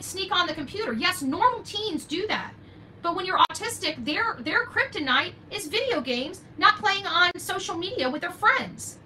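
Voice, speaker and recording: A woman's voice: very high voice, bright, forceful tone, slight background noise. An older woman hosting unscripted opinion commentary, emphatic and repeating key phrases for stress. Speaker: true-crime commentary host.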